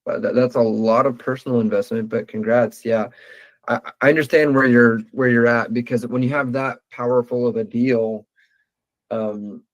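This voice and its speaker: A man co-hosting a livestream, asking guests questions in a relaxed way, with some stutters. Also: mid-range voice, moderate pace, no room sound, poor audio. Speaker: co-host of lending livestream. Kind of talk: casual livestream chat.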